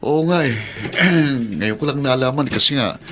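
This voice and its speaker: A man's voice: mid-range voice, animated, unhurried, fairly bright tone, slight background noise. An actor playing a frustrated working son wronged by his mother in a Tagalog radio drama. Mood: worried.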